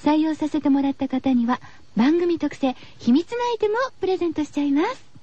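A woman's voice: sits high, expressive, fairly quick, warm tone. A woman hosting a radio show, chatting casually to her listeners in a cutesy, girlish way. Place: radio studio. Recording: slightly dull, lo-fi radio recording.

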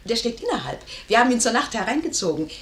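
Saucy young maid in a stage comedy radio play, high lively voice, roomy echo. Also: female voice, fast talker. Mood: teasing, dismissive. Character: pert, quick-witted young lady's maid. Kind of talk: classic German stage comedy radio acting.

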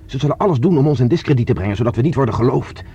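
A dull, slightly hissy radio play recording of a man playing a determined fugitive scientist. He talks fast in a low, mellow voice. He sounds urgent, explaining and plotting like a science fiction hero. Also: expressive, lightly acted. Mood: urgent, resolute. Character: determined male scientist on the run, plotting escape. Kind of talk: Dutch science fiction radio drama acting.

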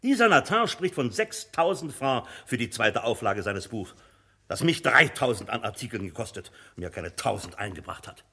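Imperious, blustering publisher, swooping and husky. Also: male voice, mid-range voice, big pitch swings, normal speed, pushed and bright, full character voice. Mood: cynical, grandiose. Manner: condescending, blustering. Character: imperious, cynical, boastful male publisher and bookseller. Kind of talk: German radio drama acting.

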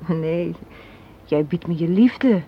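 A woman's voice: fairly low pitch, slow, husky, full character voice. Muffled recording of a sardonic young woman. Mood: scornful, firm. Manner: argumentative, sardonic, articulate. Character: assertive, cynical young woman arguing against a marriage proposal. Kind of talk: radio play acting. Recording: muffled, hissy old radio play recording.

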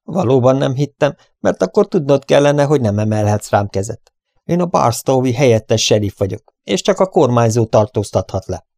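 A proud young cowboy deputy in a western storybook, acted out by a male reader. He speaks in a challenging, declamatory way, pitched up and indignant, with some gravel in the voice. The sound is very dry. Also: lively intonation, dark tone, no room sound. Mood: firm.

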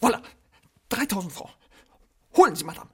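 An imperious gentleman in a state of alarm in a radio play. His voice is husky and pushed high, leaping about as he barks out agitated commands. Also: big pitch swings, moderate pace, heavily acted. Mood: frantic, urgent. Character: frantic, imperious gentleman urging a rescue. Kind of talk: literary radio drama acting.

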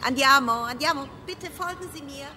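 An actress playing a friendly, brisk Italian tour guide calling out to visitors in a radio play. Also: female voice, sits high, music in the background, lightly acted. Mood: friendly, official.

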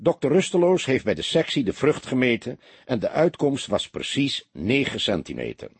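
Man playing a husky, lecturing old detective. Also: medium pitch, wildly swooping pitch, no room sound, heavily acted. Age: older. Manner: didactic, deliberate, mildly reproachful. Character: experienced older male police detective, patient lecturing mentor. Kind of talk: audiobook character dialogue.

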